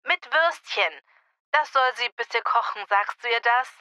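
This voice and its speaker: A woman plays a grown daughter, affectionate yet a little impatient, in a language-learning dialogue. Her voice is bright, crisp-edged and fairly high. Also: a little slow, no room sound, studio quality. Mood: cheerful.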